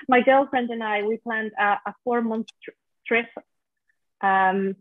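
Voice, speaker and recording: A woman's voice: a little high, slow, no echo. Icelandic woman on a tinny line. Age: 30s. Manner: relaxed, plainspoken storytelling. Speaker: guest. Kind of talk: casual interview chat. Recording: dry, tinny phone-like line.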